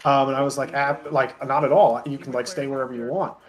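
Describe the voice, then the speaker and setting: A man telling casual anecdotes as an interview guest on an online voice call, in a halting, stop-and-start way. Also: medium pitch, fairly even intonation. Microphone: voice call on Discord.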